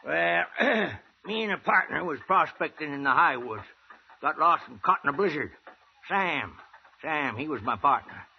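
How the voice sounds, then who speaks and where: Gravelly, high-pitched old prospector. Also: male voice, lively intonation, unhurried. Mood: excited yarn-spinning. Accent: American. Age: older. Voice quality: gravelly, cackling old-timer voice. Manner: folksy, rambling, throat-clearing drawl. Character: toothless, eager old gold prospector of the frontier West. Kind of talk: 1950s radio western acting. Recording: muffled, hissy old radio recording.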